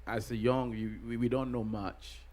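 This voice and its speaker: A middle-aged man from Ghana, a pastor being interviewed, answering in a halting way with many pauses and restarts. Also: medium pitch, level tone, darkish tone. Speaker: pastor and guest.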